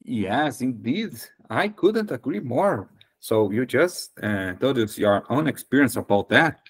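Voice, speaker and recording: A man's voice: lowish voice, a bit bright. A Brazilian man with a Brazilian Portuguese accent hosting a casual English practice chat, speaking hesitant non-native English with frequent fillers. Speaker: host.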